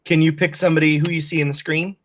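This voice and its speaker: Low-voiced, encouraging woman teacher. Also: level tone, fast. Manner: encouraging, patient, addresses pupils with endearments. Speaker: teacher leading a class read-aloud. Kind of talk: online lesson talk, prompting and praising pupils. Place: online class video call. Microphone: video call.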